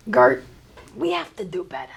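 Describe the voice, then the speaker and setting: A woman's voice: on the low side, huge pitch swings, moderate pace, vocal fry, full character voice. A woman playing an earnest, weary bar owner, a man of fifty-seven, in a live comedy table read. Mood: firm, frustrated. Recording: live stage, faint room noise.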